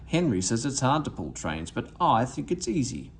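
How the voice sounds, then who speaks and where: A man's voice: medium pitch, big pitch swings, moderate pace, a little hiss or hum. A man voicing a young male steam engine in a children's storybook, impatient, eager and self-important. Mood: proud, delighted. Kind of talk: children's storybook read-aloud.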